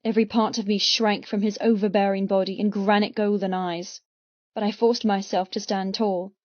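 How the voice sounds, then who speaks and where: A woman reading dramatic first-person audiobook narration in an intense, deliberate manner. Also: medium pitch, flat delivery, no room sound, lo-fi sound.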